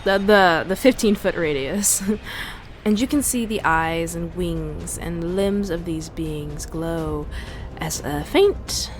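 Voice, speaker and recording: A woman's voice: lowish voice, slow pace, slight background noise. A woman playing a tabletop game, describing her character's moves. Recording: faint music bed under voice. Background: faint music.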